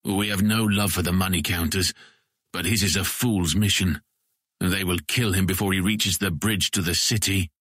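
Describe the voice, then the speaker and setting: An actor playing a proud, forthright and embittered frontier ranger in a fantasy audiobook. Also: male voice, sits low, steady tone, normal speed, a bit bright, slightly rough, no echo, clean studio sound. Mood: grave. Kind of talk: dramatized fantasy audiobook acting.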